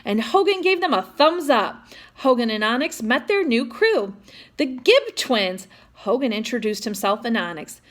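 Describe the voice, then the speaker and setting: A woman's voice: a little high, sing-song, normal speed, fairly bright tone. A woman reading a children's picture book aloud, expressively, with chatty asides to young listeners. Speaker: storytime reader.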